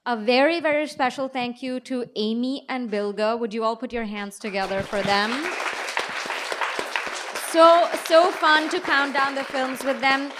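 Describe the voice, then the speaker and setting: A woman's voice: high-pitched, fairly even intonation, unhurried, crowd noise, noisy background. A woman co-hosting a live panel, presenting to an audience in a conversational way. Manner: speaks to an audience. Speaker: co-host and magazine editor. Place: live event on stage before an audience.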